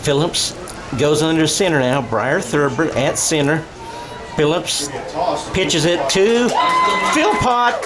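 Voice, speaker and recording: A man gives live radio-style game commentary in a slightly high, leisurely voice. He talks conversationally, as if thinking out loud, with a crowd audible behind him. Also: lively intonation, slow, pauses often. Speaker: play-by-play commentator. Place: high school football game. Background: stadium crowd and music.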